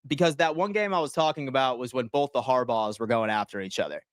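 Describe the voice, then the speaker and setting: A man, hosting a casual sports livestream and answering viewers' comments in slangy, animated talk. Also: medium pitch, fast talker, no echo, studio quality. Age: 30s. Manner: slangy, animated, reads chat aloud and answers.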